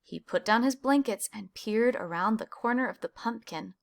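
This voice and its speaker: A woman reads a children's storybook aloud in a fairly high voice. She narrates expressively, leaning on key words for young listeners. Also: moderate pace, creaky. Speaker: storybook reader. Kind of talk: expressive children's picture book read-aloud.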